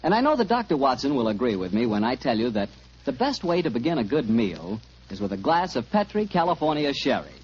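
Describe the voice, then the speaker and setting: A man's voice: medium pitch, sing-song, fairly quick, breathy edge. An American man announcing and selling on 1940s radio in an emphatic, salesmanlike style. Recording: dull 1940s radio recording.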